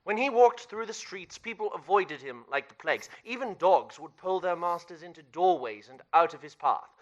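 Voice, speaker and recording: An actor playing an emphatic, theatrical storyteller narrating a classic tale in a radio play. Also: male voice, sits high, lively intonation, normal speed, muffled recording. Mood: dramatic, emphatic. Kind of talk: dramatic scripted narration.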